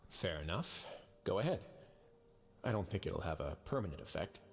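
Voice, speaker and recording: A man voicing a composed, wry duke in a video game, with a measured, courteous and dryly amused manner. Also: deep voice, very animated, slightly rough, exaggerated voice. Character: composed, wry adult male duke in authority. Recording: music behind the voice.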